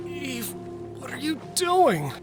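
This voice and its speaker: A man's voice: very high-pitched, sing-song, takes it slowly, music in the background, heavily acted. A man playing a tired, bruised, anxious husband in a horror audio drama, with a worn-down, pleading manner. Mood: confused, anxious.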